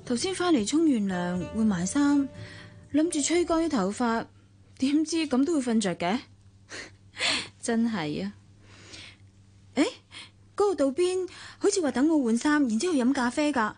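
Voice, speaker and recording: Radio drama: a well-off Hong Kong Cantonese woman with a fairly high voice. She is expressive and polite, turning reflective and wistful as she tells of her romance. Music sits lightly behind her. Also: moderate pace, long pauses. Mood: surprised.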